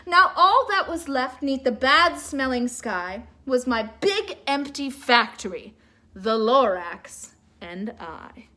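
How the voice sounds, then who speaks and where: A woman reading a storybook aloud like a teacher to children, theatrical and expressive, with asides to her listeners. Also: high voice. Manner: theatrical, expressive, drawn-out emphasis.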